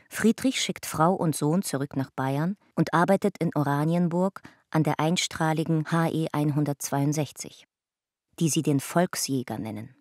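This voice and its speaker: An actress narrating a radio drama family chronicle in a detached, clipped, documentary manner. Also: female voice, sits low, darkish tone, dry recording, clean studio sound. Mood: detached, sober. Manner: matter-of-fact, clipped, documentary. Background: faint music.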